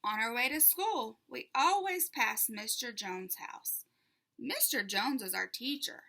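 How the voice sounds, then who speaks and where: A woman reads a children's picture book aloud at a slow pace. Her bright voice swings widely in pitch, with a creaky edge. The delivery is deliberate and expressive, aimed at young listeners.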